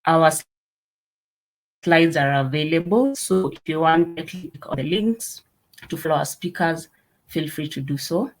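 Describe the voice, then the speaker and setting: A meetup host introduces the speakers of an online talk. The delivery is halting, with fillers and broken-off words, at a middle pitch. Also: low-quality recording.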